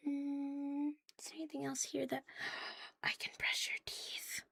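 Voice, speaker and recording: A woman chatting casually to viewers on an ASMR livestream, soft and breathy with a playful, cutesy manner, often dropping to a whisper close to the mic. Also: high-pitched, completely flat delivery, a little slow, no echo. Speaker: ASMR live streamer hosting in a virtual world. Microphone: close, sensitive streaming microphone.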